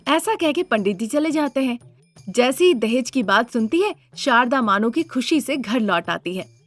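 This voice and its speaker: A woman's voice: a little high, animated, normal speed, faint music behind. A woman narrator reading a scripted cartoon story as a storyteller.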